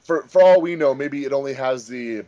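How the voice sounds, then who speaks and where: A man chatting casually as a director on a commentary track, explaining things with frequent pauses. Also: medium pitch, dark tone, no room sound, muffled recording. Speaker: fandub director and voice actor on commentary.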